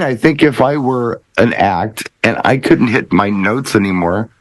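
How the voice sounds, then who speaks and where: An American man with a lowish voice that moves around in lively swings. He chats casually and muses through his stories haltingly, recorded close and dry. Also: vocal fry, no room sound. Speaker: panelist on a DJ talk show. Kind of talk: casual chat.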